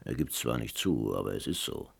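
An actor playing an excitable old Dakota grandfather who exclaims and urges in a German radio play. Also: a bass voice, a little fast, gravelly, husky, full character voice. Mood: confident, knowing. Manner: animated, exclaiming.

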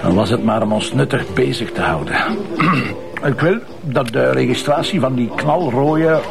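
An actor playing a sardonic, bossy police commissioner with a Flemish accent, in a radio drama with music underneath. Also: male voice, mid-range voice, lively intonation, measured pace. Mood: wry. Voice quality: gravelly, teasing, bossy. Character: gruff sardonic male police commissioner, middle-aged. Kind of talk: Belgian radio/TV drama acting.

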